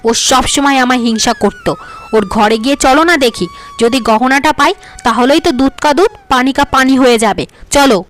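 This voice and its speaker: A woman's voice: high voice, a little fast, natural voice. A woman voicing a scheming, jealous young wife in a cartoon who accuses her older sister sharply. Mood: scornful.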